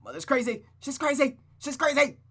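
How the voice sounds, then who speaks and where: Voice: expressive, normal speed, fairly bright tone. A reader voicing a terrified pleading girl. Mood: panicked. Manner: high, pleading and panicked. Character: frightened teenage girl pleading with her mother. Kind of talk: dramatic reading of a visual novel.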